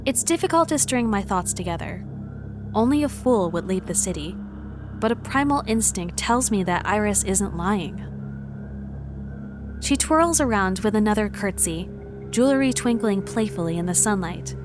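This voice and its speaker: A woman playing a wary, determined traveler, giving measured, introspective first-person narration in a visual novel fan dub. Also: mid-range voice, expressive, natural voice. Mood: confused, resolute. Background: music.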